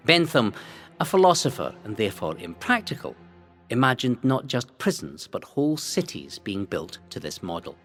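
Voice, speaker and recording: Expressive male narrator over music. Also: mid-range voice. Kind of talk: scripted historical audio guide narration.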